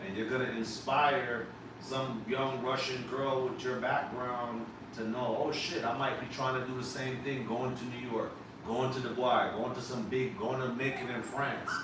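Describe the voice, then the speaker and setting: A man's voice: mid-range voice, moderate pace. Emphatic man hosting in an echoey room. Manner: emphatic, animated, swears casually. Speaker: podcast host. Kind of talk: casual interview chat. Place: live podcast set on a couch. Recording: echoey room, distant mic, background noise.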